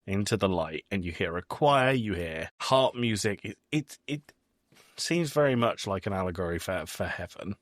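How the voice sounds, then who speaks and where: A man's voice: low-pitched, expressive, moderate pace, dry recording, studio quality. A man hosting a podcast, recapping a film in casual discussion and often repeating words as he thinks. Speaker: podcast host.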